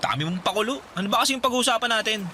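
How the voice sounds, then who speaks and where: An actor playing Neil, a teasing and excitable young man, in a radio drama. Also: high voice, rapid-fire, slight background noise. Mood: bantering. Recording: music bed under dialogue.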